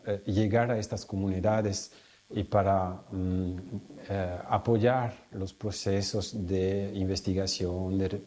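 A male researcher speaking in a halting way, with many fillers and restarts. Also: lowish voice, warm tone. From Italy. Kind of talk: interview remarks.

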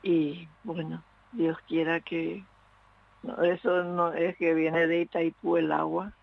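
A man calling in to a radio show over a tinny phone line. His voice is very gravelly and husky, and he speaks slowly in a flat, earnest tone. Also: fairly high pitch. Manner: slow, earnest, prayerful wishes. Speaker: radio caller. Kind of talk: phone-in chat.

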